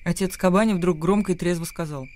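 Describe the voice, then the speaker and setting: A man's voice: high voice, fast, darkish tone. A man reading scripted literary narration for a radio drama.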